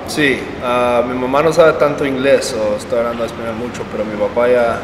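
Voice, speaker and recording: A young man from Texas speaks haltingly, stopping for "ah" between his thoughts. He's heard from a bit away in an echoey room, with a long reverb and steady background noise. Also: medium pitch, recorded from a distance. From El Paso, Texas, United States. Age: young adult. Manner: halting, with "ah" fillers and English words slipping in. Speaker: basketball player, interviewee. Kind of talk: casual interview answers. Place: indoor room, seated at a table.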